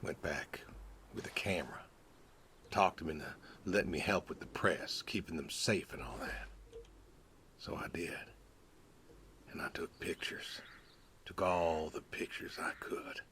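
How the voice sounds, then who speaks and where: Low-voiced, weary old farmer. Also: male voice, unhurried, long pauses, pushed and bright, slight background noise, full character voice. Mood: reflective, subdued. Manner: laconic, folksy, reticent. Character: weary, stoic older pig farmer and war veteran. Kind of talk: naturalistic video game dialogue.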